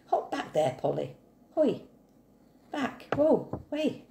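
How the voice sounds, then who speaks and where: A farmer calls out to his horse in a woman reader's voice in a poem. The commands are barked and pitched up high, with roomy echo around them. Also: big pitch swings, slow pace, gravelly, dark tone, exaggerated voice. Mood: urgent, commanding. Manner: barking, calling out. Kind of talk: poetry reading character voice. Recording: roomy echo, faint hiss.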